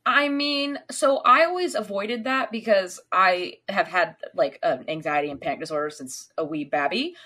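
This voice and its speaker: A woman's voice: mid-range voice, normal speed, few pauses, sharp tone. A young woman co-hosting a casual film podcast, easygoing and often laughing. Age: young adult. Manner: giggly, easygoing. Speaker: podcast co-host.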